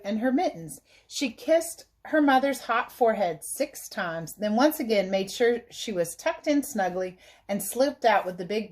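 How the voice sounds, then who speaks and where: A woman's voice: fairly high pitch, a little mellow. Woman reading a picture book to children. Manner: instructive, simply explains things to young listeners. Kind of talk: read-aloud storytelling with explanatory asides for children.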